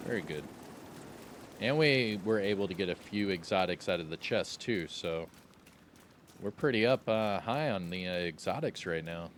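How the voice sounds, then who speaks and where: Deep-voiced laid-back gaming commentator man. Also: normal speed, a little hiss or hum. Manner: laid-back and joking, with excited outbursts. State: slightly raspy. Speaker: gameplay commentator. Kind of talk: casual gameplay commentary.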